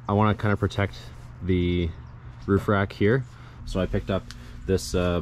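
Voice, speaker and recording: A man's voice: low voice, a little mellow. A man narrating a casual hands-on how-to as a DIY vlogger outdoors, speaking in hesitant, piecemeal phrases. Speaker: DIY vlogger demonstrating an installation. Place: outdoors in a residential yard and driveway. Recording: clear background noise, outdoor ambience.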